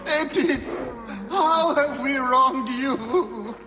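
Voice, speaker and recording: An actor playing a humble, burdened high priest in a video game, hesitant, solemn and sorrowful. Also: male voice, very slow, over music, full character voice. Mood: anguished. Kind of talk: dramatic video game acting.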